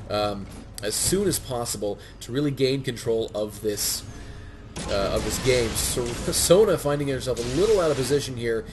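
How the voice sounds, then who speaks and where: Male game caster, stuffy cold voice, music clearly underneath. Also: mid-range voice. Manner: excitable, many fillers and restarts. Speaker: game commentator. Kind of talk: solo play-by-play commentary.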